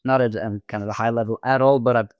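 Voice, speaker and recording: A man in his twenties with a Norwegian accent, chatting casually as a podcast guest, halting often with ums and repeated words. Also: medium pitch, fairly even intonation, darkish tone, smooth, no echo, muffled recording. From Norway. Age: 20s. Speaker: podcast guest, amateur marathon runner. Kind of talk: casual interview chat.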